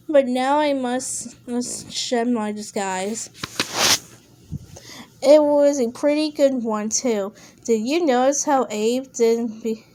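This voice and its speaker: Woman as a slow, solemn ancient storybook creature. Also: a little high, warm tone. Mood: measured, reassuring. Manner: measured, halting, grave prophetic pronouncements. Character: ancient, solemn watcher disguised as a Tasmanian devil.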